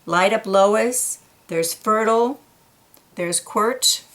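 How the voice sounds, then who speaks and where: A woman reading a children's picture book aloud, gently pointing out details in the pictures between passages. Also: mid-range voice, takes it slowly.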